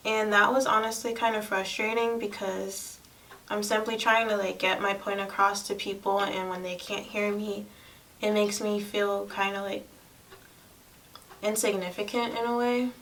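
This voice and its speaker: A young woman talks in a soft, girlish voice, as in a personal vlog. Her delivery is candid and casual. She sounds a little away from the microphone, with faint noise in the recording. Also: mid-range voice, level tone, normal speed. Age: young adult. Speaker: lifestyle vlogger. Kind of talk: personal casual chat to camera. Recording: distant mic, faint room noise.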